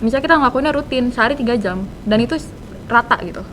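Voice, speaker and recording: A woman's voice: animated, fast, fairly bright tone. A teenage girl with a Jakarta accent, answering as a podcast guest in informal, slangy speech sprinkled with English terms. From Indonesia. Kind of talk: casual interview chat. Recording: noisy room, low rumble.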